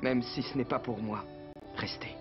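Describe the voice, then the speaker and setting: A man's voice: mid-range voice, fairly even intonation, moderate pace, natural voice. A man acting an earnest, lovestruck young hero in a dubbed cartoon, tender and pleading. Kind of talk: dubbed animated series acting. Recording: muffled sound with music underneath.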